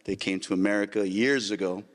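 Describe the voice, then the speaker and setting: A middle-aged man from Santa Maria, California, shares his testimony as a guest evangelist. He speaks calmly and in measured phrases, with a somewhat low voice. A long hall reverb trails his words. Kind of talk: testimony in an interview.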